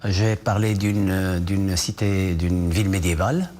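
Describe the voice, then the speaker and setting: A man's voice: deep voice, unhurried, darkish tone. A man from Morocco, a novelist, answering questions in a TV news studio, hesitant with many fillers and restarts. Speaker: novelist guest. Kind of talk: TV news interview.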